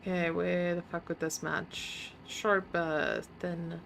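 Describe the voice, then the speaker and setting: A woman's voice: fairly low pitch, flat delivery, slow pace, gravelly, a bit bright, a little hiss or hum. A woman streamer casually commenting on her game, muttering to herself while solving puzzles and chatting with viewers.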